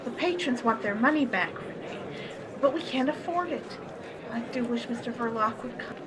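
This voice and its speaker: A woman's voice: on the high side, normal speed, natural voice, poor audio. A woman playing a harried but polite cinema owner's wife in an old-time radio play. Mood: flustered. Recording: crowd noise behind. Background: crowd of people.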